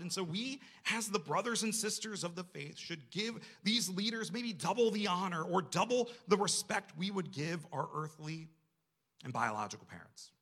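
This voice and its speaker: Bright, gravelly male preacher. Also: high voice. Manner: conversational, self-deprecating, rhetorical questions. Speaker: church staff member preaching. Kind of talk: sermon to a congregation.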